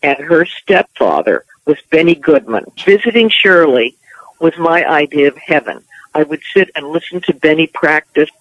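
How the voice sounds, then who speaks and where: A woman's voice: deep voice, expressive, normal speed, no echo, rough recording. Older American woman, dry close sound. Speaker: guest being interviewed. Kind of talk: reminiscing in an interview.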